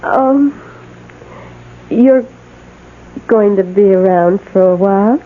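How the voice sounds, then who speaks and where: An actress playing a lonely, lovestruck middle-aged housemaid in a radio drama, speaking in a wistful, halting, fluttery way. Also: female voice, mid-range voice, natural voice. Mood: shy, adoring. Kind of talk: old-time radio drama acting. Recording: tinny band-limited old radio broadcast, faint noise.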